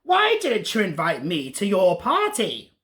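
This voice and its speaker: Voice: mid-range voice, wildly swooping pitch, unhurried, a bit bright. Storyteller putting on a wicked, thundering wizard, swooping wildly. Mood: menacing. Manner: thundering, gloating, theatrical. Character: wicked, mocking male wizard in a children's storybook.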